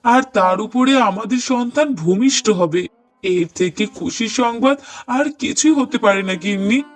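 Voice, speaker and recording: A man plays a gentle, devoted young husband in a cartoon drama. His voice is high for a man, earnest and tender, and he leans on repeated words. Music plays behind him. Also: a little slow. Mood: overjoyed. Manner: earnest, tender, emphatic repetition. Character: affectionate young husband, delighted father-to-be, gentle and doting. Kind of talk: cartoon dialogue acting. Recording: voice over prominent music bed.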